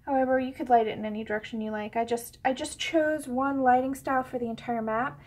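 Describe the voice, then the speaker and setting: A woman with a high voice, sniffly from a head cold, talks her way through a coloring tutorial at her desk. Her speech wanders and restarts, full of ums, and now and then she drops to a soft whisper while she draws. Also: fairly even intonation, fast. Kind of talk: casual real-time drawing tutorial.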